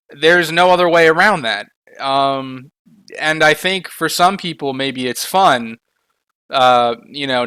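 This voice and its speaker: Hoarse guy ranting about a game. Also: medium pitch, pushed and bright, dry recording. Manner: frustrated, swears often, builds to heated outbursts. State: losing his voice from being sick. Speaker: gaming video creator. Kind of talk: casual ranting commentary.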